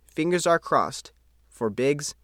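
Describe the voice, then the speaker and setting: A man's voice: fairly high pitch, animated, slow, fairly bright tone, no room sound, clean studio sound. An American man reading a scripted local news report as the reporter. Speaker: newspaper reporter reading own article.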